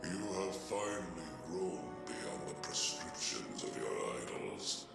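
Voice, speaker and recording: Cavernous, gravelly alien warlord. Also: male voice, very animated, heavily acted. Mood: grave, awed. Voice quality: deep gravelly growl. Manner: slow, grandiose, menacing. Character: huge, imperious, dying alien warlord nightmare, male, contemptuous. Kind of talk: scripted video game dramatic acting. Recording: heavy echo under loud music. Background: loud game music.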